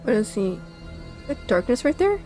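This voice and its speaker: A woman's voice: on the high side, very animated, moderate pace, a little mellow, faint music behind. A woman giving casual, chatty reaction commentary to game cutscenes, often exclaiming and repeating herself. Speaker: gaming reactor.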